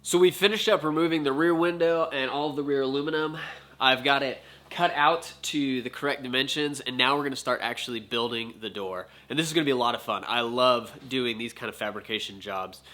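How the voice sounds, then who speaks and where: A man's voice: medium pitch, fairly quick, sharp tone. An American man hosting a build vlog, talking shop in a casual, upbeat way.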